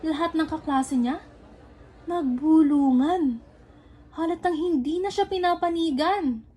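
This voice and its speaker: Young female storyteller, high pitch, scripted radio-play narration. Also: measured pace, warm tone.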